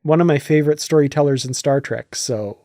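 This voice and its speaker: A man hosting a podcast, speaking in an effusive, excitable and enthusiastic way. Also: a little high, brisk, no room sound, clean studio sound. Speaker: podcast host. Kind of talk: enthusiastic casual podcast discussion.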